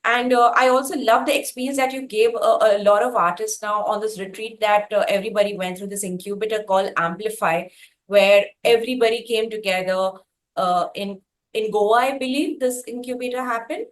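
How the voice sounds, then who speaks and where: A woman hosting a conversational interview, speaking effusively in halting phrases with repeated words for emphasis. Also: mid-range voice, fairly even intonation. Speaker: interviewer, host.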